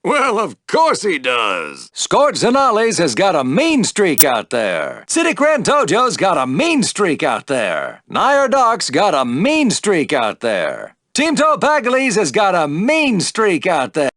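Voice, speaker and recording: Excitable, high-pitched podrace announcer. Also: male voice, slow pace, hardly any pauses, no room sound, studio quality, exaggerated voice. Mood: excited taunting. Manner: theatrical, punchy, showy delivery. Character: excitable male alien podracing announcer, brash and gleeful. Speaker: race announcer. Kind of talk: animated sports commentary in a video game.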